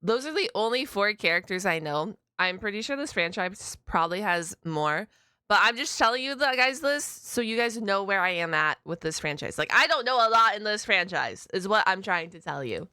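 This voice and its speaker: A woman giving casual reaction commentary in a chatty, expressive way with short interjections. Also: mid-range voice, moderate pace, clean tone, dry recording, clean studio sound. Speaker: reaction streamer.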